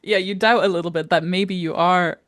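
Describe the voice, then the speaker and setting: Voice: medium pitch, steady tone, brisk, crowd noise. A young adult podcast co-host chatting casually, rambling and hesitant, with many fillers. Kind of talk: casual chat.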